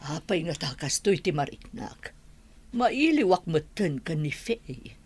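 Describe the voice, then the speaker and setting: A woman's voice: sits low, very animated, unhurried, a little mellow, husky, full character voice. An actress playing a middle-aged mother and household madam, wistful about a married child, in a radio drama. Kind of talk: Ilocano comedy-drama radio acting.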